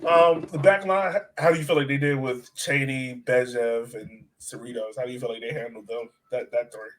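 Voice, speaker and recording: A man's voice: mid-range voice, steady tone, a little fast, fairly bright tone, a little hiss or hum, rough recording. A man co-hosting a soccer fan podcast in casual, conversational analysis, often putting questions to his co-host. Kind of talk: casual sports analysis chat.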